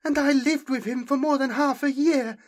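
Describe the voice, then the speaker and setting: A bitter, defiant young woman who has survived hard times, acted by a male audiobook narrator. The voice is pitched up and urgent, in short emphatic phrases. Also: fairly even intonation, moderate pace.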